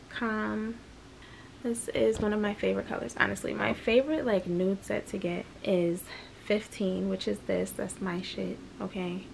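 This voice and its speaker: A young woman chats straight into a close camera in her small bedroom, loose and candid, trailing off into fillers. Her mid-pitched voice carries a gravelly fry at the ends of lines. A faint hiss of room noise sits underneath. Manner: chatty, candid, rambling with fillers. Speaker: vlogger. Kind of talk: casual vlog talk to camera. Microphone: camera close at arm's length.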